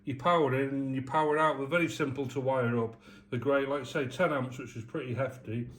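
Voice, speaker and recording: A man's voice: mid-range voice, steady tone, fast talker. English man, echoey and off-mic. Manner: matter-of-fact, colloquial explaining. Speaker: restorer of vintage arcade machines presenting the work. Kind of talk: casual hands-on demonstration. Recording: roomy, distant mic with clear background noise.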